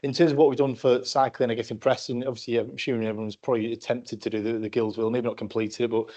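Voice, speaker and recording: A man's voice: medium pitch, flat delivery, fast, darkish tone, dull audio. A man speaking as a councillor at a council meeting, answering a question with many fillers and restarts. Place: council chamber.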